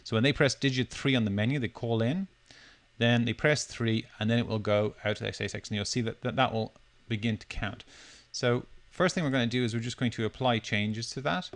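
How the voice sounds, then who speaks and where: A man presenting a software demo, talking through it step by step in a loosely unscripted, explanatory way. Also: mid-range voice, fairly quick. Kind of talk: step-by-step screencast tutorial narration.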